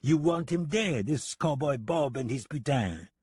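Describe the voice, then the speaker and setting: A man's voice: medium pitch, big pitch swings, moderate pace, darkish tone, slightly rough, no room sound, studio quality, pitched above the performer's own voice. A man voicing a mocking, sly French gunman with a French accent in a western audiobook. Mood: mocking, assured. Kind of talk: western audiobook character acting.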